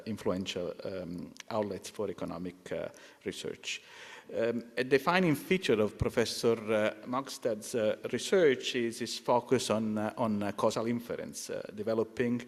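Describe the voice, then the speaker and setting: A man acting as host, giving a formal introduction of a guest speaker in a halting way, full of hesitations and restarts. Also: on the low side. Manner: halting, many fillers and restarts, clears throat. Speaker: lecture host. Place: lecture hall. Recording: lecture hall with long reverb.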